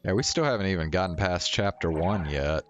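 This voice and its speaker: A man's voice: sits low, animated, brisk. A man doing casual game commentary and reading visual novel text aloud. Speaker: game streamer. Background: game music.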